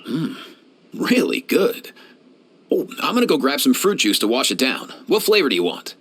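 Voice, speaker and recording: An actor playing a kindly, laid-back young veterinarian in a video game, talking in casual slang. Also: male voice, medium pitch, very animated, moderate pace, a bit bright, slightly rough, exaggerated voice. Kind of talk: game voice lines, conversational.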